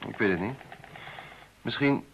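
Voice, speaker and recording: An actor playing a curt, secretive politician in a radio play, speaking formally and evasively. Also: male voice, mid-range voice, unhurried, creaky. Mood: firm, evasive. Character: curt, secretive male politician under threat. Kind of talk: Dutch radio play acting. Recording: muffled, faintly noisy old radio recording.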